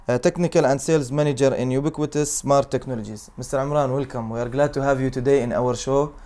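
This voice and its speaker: A man's voice: medium pitch, a little mellow. A man who is a native Arabic speaker, formally hosting an interview show.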